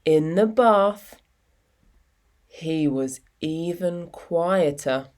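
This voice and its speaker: Very slow-paced woman reading a children's story. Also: on the low side. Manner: expressive, deliberate storytelling with dramatic pauses. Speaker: storytime reader. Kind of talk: children's picture book read-aloud.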